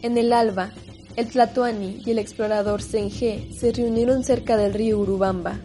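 A woman's voice: on the high side, fairly even intonation, normal speed, warm tone, soft background music. A woman narrating a scripted historical radio drama.

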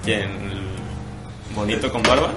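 A man's voice: on the low side, slow. A man in his twenties with a Mexican accent, chatting casually and jokingly in slangy talk as a podcast co-host. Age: 20s. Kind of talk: casual banter chat. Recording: roomy echo, music behind.

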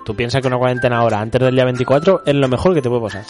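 A man's voice: mid-range voice, very fast, darkish tone, soft background music. A man from Spain hosting a local radio show in casual banter, joking and laughing often, with a stuffy congested nose. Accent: Spanish (Spain). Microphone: studio microphone.

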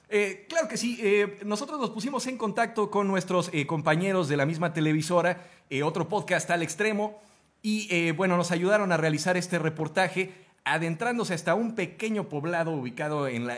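A man co-hosting a comedy podcast, mock anchoring with loud, filler-heavy delivery. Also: high-pitched, normal speed. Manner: loud, hesitant, full of fillers.

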